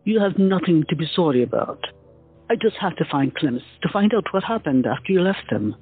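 A woman plays a young woman desperate to find her missing sister in an audio drama. Her mid-range voice is husky and pushes urgently. The audio is tinny and phone-like, with faint music. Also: lowish voice, sing-song, a little fast. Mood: anxious. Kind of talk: amateur audio drama acting.

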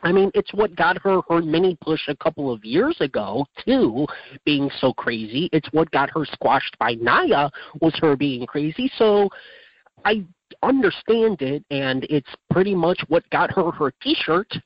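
Higher-voiced, animated man with rough edge. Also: unhurried, no room sound, dull audio. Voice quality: slightly rough voice. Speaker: wrestling podcast co-host. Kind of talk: casual sports review chat.

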